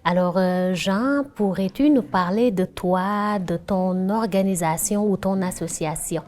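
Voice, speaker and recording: A woman's voice: mid-range voice, measured pace, a little mellow. A woman with a Quebec French accent, a professor hosting an interview, welcoming with frequent fillers.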